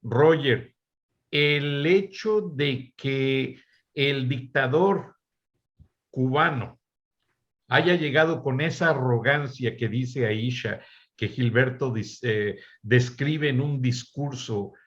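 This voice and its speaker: A man moderates a panel discussion in a calm, measured way and takes his time with every phrase. His voice comes through with a dull, lo-fi quality. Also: mid-range voice. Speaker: host.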